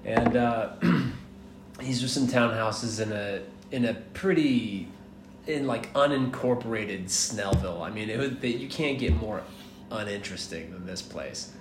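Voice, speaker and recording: A relaxed American man in his mid-thirties tells stories in a loose, rambling way and drops the odd swear word. His voice sits fairly low. The room is echoey, with clear background noise under him. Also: unhurried. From United States, born in Miami, raised in Atlanta. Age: 30s. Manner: laid-back, rambling, laughs often, swears casually. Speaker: guest. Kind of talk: casual storytelling interview. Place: hostel.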